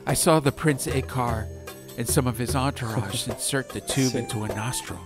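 A man reading an atmospheric fantasy audiobook in a measured, dramatic way. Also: mid-range voice. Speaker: audiobook narrator. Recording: music behind.

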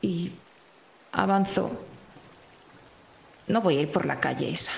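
Creaky-voiced Spanish woman, hesitant roleplayer. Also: fairly low pitch, slow pace, reverberant. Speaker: player. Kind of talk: casual tabletop roleplay, describing her character's actions.

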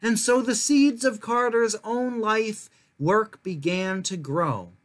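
A young man with a notably high voice hosts a story time for children. He reads aloud carefully, leaning on key words so the listener can follow. He is recorded at a desk in a small room. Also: slow. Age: young adult. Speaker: children's storytime reader and host. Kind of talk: reading a picture book aloud to viewers.

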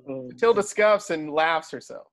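A man's voice: fairly high pitch, animated, unhurried, fairly bright tone. A man reading scripted stage directions as the narrator of a table read. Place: at home on a video call.

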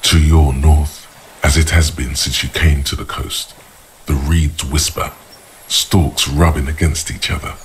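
An actor playing a grave, observant inner-voice narrator in a video game, speaking in measured, portentous literary prose. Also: male voice, rumbling low, level tone, a little hiss or hum. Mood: hushed wonder, ominous.

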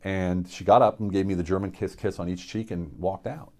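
A middle-aged man from Pennsylvania, a military veteran, gives an unscripted personal testimony in a studio, earnest and reflective, with many halting fillers and restarts. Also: low voice, steady tone, dark tone. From central Pennsylvania, USA. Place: indoor studio with dark backdrop.

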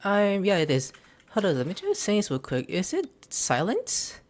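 A man's voice: high-pitched, wildly swooping pitch, moderate pace. A young man hosting a gaming video, giving casual gameplay commentary from a small room. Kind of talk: casual gameplay commentary, reading dialogue choices aloud. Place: small room indoors at a computer.

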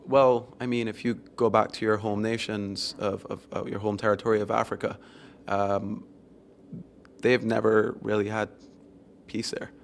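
A man from Barbados answering interview questions in a measured, reflective way with frequent ums, speaking into a handheld mic at an event venue. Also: on the low side, flat delivery, gravelly, a little hiss or hum. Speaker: interview guest. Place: event venue with windows, seated on sofa.

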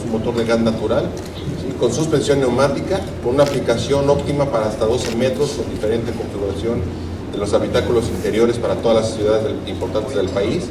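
A middle-aged man giving a measured corporate presentation on a handheld microphone, often checking in with "¿sí?". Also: mid-range voice, background noise. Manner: measured, fact-heavy, frequent "¿sí?" check-ins. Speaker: company executive presenting at a trade show. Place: large indoor exhibition hall. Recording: echoey hall, taped from a distance.

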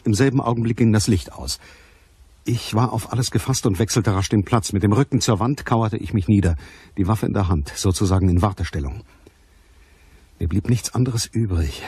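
Low-voiced hard-boiled private detective. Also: male voice, warm tone, slightly rough, dry recording. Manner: terse, matter-of-fact storytelling. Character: hard-boiled private detective, cool, watchful, unsentimental man. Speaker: actor playing narrator and lead detective. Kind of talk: scripted first-person crime radio drama narration.

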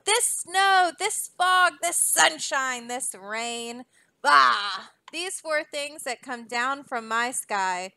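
A grumpy old king bellows and demands in a storybook read-aloud, as a woman puts him on. The voice is pushed high and loud, with a bright edge and a dry, close sound. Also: expressive, slow, few pauses, dry recording, heavily acted. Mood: angry.

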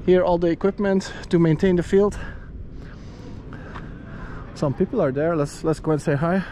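A man's voice: a little high, normal speed, mellow, slight background noise. Chatty Belgian man vlogging outdoors. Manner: chatty, laughs often while exploring on foot. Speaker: travel vlogger. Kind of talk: casual vlog narration. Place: outdoor stadium and its corridors. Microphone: action camera held at arm's length.

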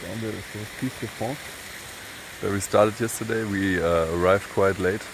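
A young man from Germany talking to his phone camera outdoors in the mountains, in a relaxed, halting way. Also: fairly low pitch, creaky, noisy background. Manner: relaxed, halting, plain-spoken. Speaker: hiking vlogger. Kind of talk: casual vlog narration. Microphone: phone at arm's length.

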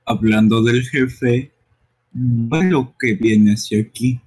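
A man's voice: mid-range voice, fairly even intonation, slow, darkish tone, husky. A man voicing a smug, bullying poison-gas creature villain in an amateur game dub, with taunting delivery. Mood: boastful. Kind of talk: amateur live game dubbing.

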